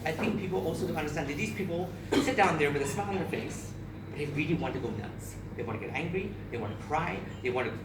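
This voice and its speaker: A man in his thirties from Barbados gives a candid, emotional personal speech to an audience, with frequent restarts. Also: fairly high pitch. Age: 30s. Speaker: speaker at a charity event. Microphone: far camera. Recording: echoey room, captured from far off with noise.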